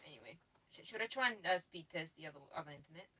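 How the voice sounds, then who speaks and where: A woman's voice: low voice, animated, racing, sharp tone, slightly rough, distant mic. A young woman from Bulgaria with a Bulgarian accent, chatting on a live stream with many restarts and laughs. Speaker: co-host. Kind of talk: casual live stream chat.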